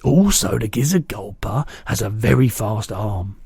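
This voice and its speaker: Guy doing a big friendly giant, booming n eager, kinda husky, jumbled words. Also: animated, unhurried, a little mellow, dry recording, clean studio sound, heavily acted. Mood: earnest. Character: big kindly male giant, muddled grammar. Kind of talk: reading a storybook aloud.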